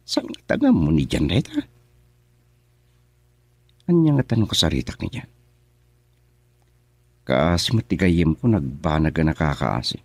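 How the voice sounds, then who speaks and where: A man's voice: extremely low pitch, wildly swooping pitch, unhurried, pauses often, no room sound, full character voice. An actor playing an indignant young man confronting his friends, in Ilocano radio drama with a Filipino accent. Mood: indignant, scolding.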